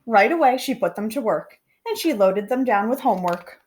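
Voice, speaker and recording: A woman reading a children's picture book aloud in an expressive narrating style. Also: a little high, normal speed. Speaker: storybook reader.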